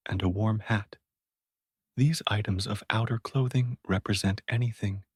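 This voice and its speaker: A man talks the listener down toward sleep in a slow, soothing voice. His voice sits a bit low and has a breathy, husky edge. It is recorded close up and dry. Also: dry recording. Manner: slow, soft and soothing, with long pauses. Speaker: sleep meditation guide. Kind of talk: guided sleep meditation.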